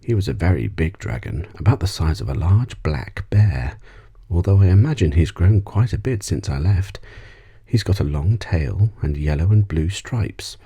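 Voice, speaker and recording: A man's voice: sits low, animated, moderate pace, mellow, breathy edge. A man voicing a kindly old female cat in a storybook, talking in an earnest, conversational way. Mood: fond reminiscing. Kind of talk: storybook character reading.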